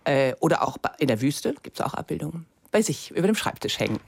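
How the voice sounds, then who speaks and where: A woman speaks as a guest in a radio interview. Her voice sits a little low. She explains her work as a literary translator in considered, articulate sentences. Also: big pitch swings, fast talker, creaky, breathy edge.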